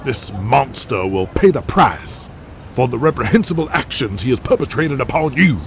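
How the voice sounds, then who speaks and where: An actor playing a stern, righteous older lawyer who orates formally and pompously in an audio drama. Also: male voice, medium pitch, expressive, normal speed, creaky, background noise. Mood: stern, indignant.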